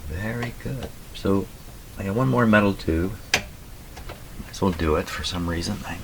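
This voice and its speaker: A man with a slightly hoarse voice gives casual running commentary as he works, muttering to himself and reading things out deliberately. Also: low voice. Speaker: radio repairer and video host. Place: radio repair workshop at a bench. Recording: clearly noisy workshop recording with clicks.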